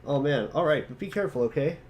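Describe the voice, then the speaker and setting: Man playing an expressive, easygoing teenage boy. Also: fairly high pitch, fast talker, natural voice. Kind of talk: amateur dramatic reading.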